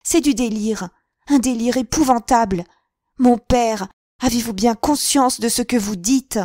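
A woman's voice: unhurried, no echo, clean studio sound. Young noblewoman acted by a woman reader, fierce, raised voice, desperate. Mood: vehement. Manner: high, loud and vehement.

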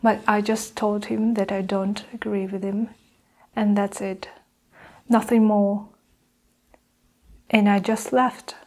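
Guarded nurse under interrogation, played by a young woman: flat intonation, unhurried, measured, self-justifying, for ASMR roleplay. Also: mid-range voice, low-quality recording. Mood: defensive, measured. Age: young adult. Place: small indoor room with curtain backdrop. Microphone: clip-on lapel mic.

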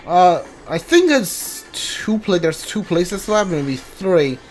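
A man casually commentating on his video game playthrough, haltingly thinking aloud and mumbling as he reads. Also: high-pitched, sing-song, faint music behind, low-quality recording.

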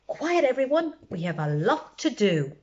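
A woman's voice: on the high side, big pitch swings, measured pace, dark tone, highs cut off, pitched above the performer's own voice. A woman voicing a bossy, condescending male vampire who runs a club, in a children's storybook. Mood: bossy, exasperated. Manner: condescending, exasperated, emphatic.

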